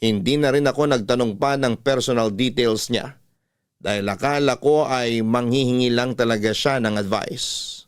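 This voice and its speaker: Male radio host with Filipino accent, mid-pitched, dry studio sound, reading a listener's story as scripted narration. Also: clear, resonant tone, no echo.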